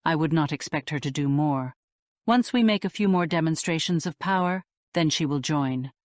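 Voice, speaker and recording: A woman's voice: low voice, moderate pace, lightly acted. A woman playing a calculating female demon defector in an audiobook drama, speaking formally and measuredly in a roomy, echoing sound. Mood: guarded, calculating.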